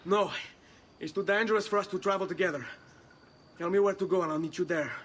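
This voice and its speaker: Young Italian nobleman, intense, higher-pitched. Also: quick pace, a bit bright. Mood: urgent. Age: young adult. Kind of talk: video game cutscene acting.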